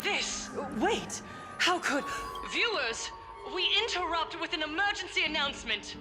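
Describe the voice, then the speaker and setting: Scheming smooth broadcaster. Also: female voice, very high voice, lively intonation, a little slow. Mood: urgent. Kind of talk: voiced game dialogue. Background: game music.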